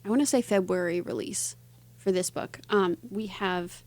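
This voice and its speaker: A woman's voice: lowish voice, normal speed, no room sound. Woman chatting as an interview guest, dry sound. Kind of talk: casual interview chat.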